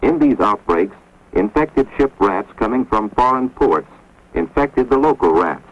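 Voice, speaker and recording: Man, low voice, husky, measured authoritative narration, lo-fi 1950s film soundtrack. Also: animated. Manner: measured, grave, authoritative delivery. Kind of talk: scripted educational narration.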